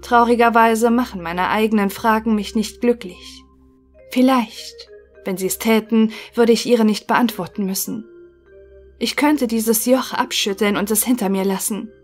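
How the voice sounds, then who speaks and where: A woman's voice: a little high, measured pace, soft background music, close to an everyday voice. A woman playing a melancholy computer intelligence, reflective and stilted, in a scripted audio drama. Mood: wistful.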